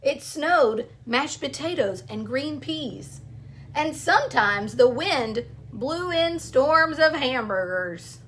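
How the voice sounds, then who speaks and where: A woman reading a children's picture book aloud, pausing between short phrases and speaking directly to young listeners. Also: high-pitched, lively intonation, fairly bright tone, slight background noise.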